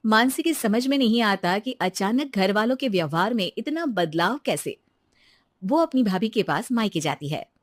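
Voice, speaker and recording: A woman's voice: mid-range voice, expressive, moderate pace, no echo, clean studio sound. A woman narrator reading a scripted bedtime moral story.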